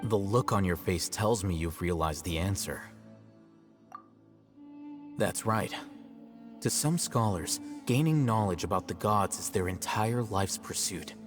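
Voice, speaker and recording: A man playing an aloof, self-assured young scholar in a video game, measured, articulate and slightly smug. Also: sits low, expressive, big-room sound, music in the background. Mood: explaining.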